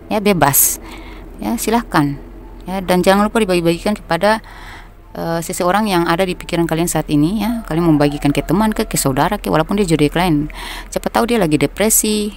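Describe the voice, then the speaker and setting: A woman from North Maluku with her regional accent, giving a gentle, unhurried tarot reading as its host. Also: low voice, slight background noise. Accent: North Maluku Indonesian. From North Maluku, Indonesia. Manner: gentle, unhurried, reassuring, with soft laughs. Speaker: tarot reader. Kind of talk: casual tarot reading monologue.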